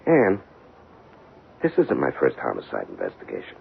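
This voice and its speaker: An actor playing a dogged, weary homicide detective and husband in a 1970s radio drama, colloquial and explanatory in manner. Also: male voice, extremely low pitch, huge pitch swings, moderate pace, creaky, husky, heavily acted. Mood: defensive, exasperated. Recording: tinny band-limited old radio broadcast.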